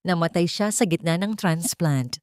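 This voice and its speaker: A woman's voice: lowish voice, normal speed, a little mellow, no echo, clean studio sound, natural voice. A woman narrator voicing a heartless older mother-in-law in a melodrama, matter-of-fact and stern. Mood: cold, authoritative. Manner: unhesitating, matter-of-fact, authoritative. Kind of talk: acted dialogue in melodrama narration.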